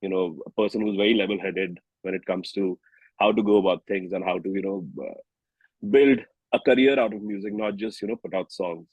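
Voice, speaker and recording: Low-voiced Indian man, slightly husky. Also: a little fast, a little mellow, no room sound, dull audio. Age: 30s. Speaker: singer-songwriter and musician interview guest. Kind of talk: casual interview chat.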